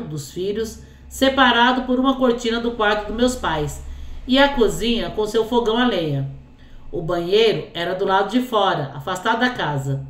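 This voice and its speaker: A woman with a cold and a hoarse voice, hosting a storytelling channel and reading a listener's life story aloud between chatty asides full of little fillers. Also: mid-range voice. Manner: chatty, fills speech with "né" and "assim". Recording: echoey room.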